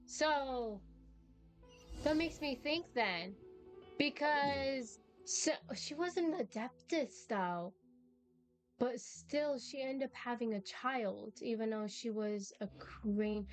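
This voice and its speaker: A woman's voice: fairly high pitch, slow. A woman giving casual live gameplay commentary as a streamer, musing aloud in a rambling way. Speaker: game streamer. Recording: game music behind the voice.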